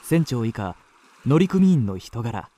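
A man playing a suave, well-bred young gentleman traveller in a radio drama, measured, polished and courteous. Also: medium pitch, big pitch swings. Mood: composed narration. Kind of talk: radio drama narration and dialogue.

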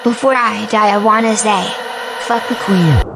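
A woman's voice: mid-range voice, a little slow, creaky, heavy background noise. A woman playing a petulant, wrathful god of punk rock in a comedy audio drama, theatrical but slipping into offhand grumbling. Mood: vengeful. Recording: music under the voice. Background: music.

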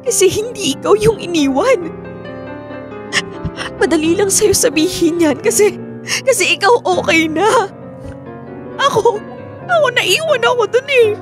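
A woman's voice: very high voice, animated, a little slow, pauses often, over music. A woman playing a hurt, pleading wife seeking closure from an old love, in a Filipino radio drama. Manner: emotional, pleading.

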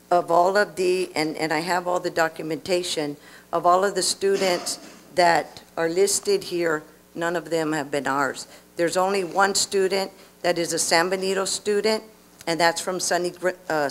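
Middle-aged woman, lowish voice, board meeting, podium mic, faint ambience, matter-of-fact. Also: a little hiss or hum. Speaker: district staff member answering the board. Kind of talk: answering questions at a public meeting. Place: school board meeting room. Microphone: podium microphone.

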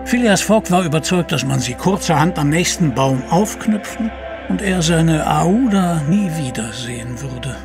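A man's voice: fairly high pitch, lively intonation, normal speed, over music. A man narrating an adventure audio drama with dramatic storytelling delivery.

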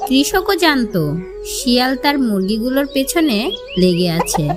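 A woman's voice: sits high, big pitch swings, a little slow, loud music behind the voice. A woman storyteller narrating a scripted fable for children.

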